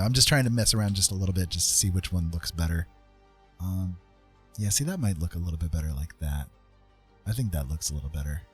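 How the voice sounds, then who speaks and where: A man's voice: low voice, slightly rough. A man chatting casually on a live stream as he draws, hesitant and self-effacing, thinking aloud with tutorial-like asides. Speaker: streamer and digital artist. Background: faint music.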